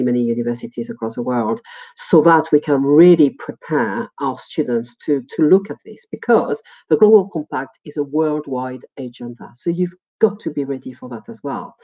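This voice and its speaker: A middle-aged woman with a French accent presents a webinar as a lecturer in a conversational, halting, digressive way, often repeating words. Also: rumbling low, warm tone. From France. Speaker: university lecturer. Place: small indoor room, video call. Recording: muffled, dull webinar audio.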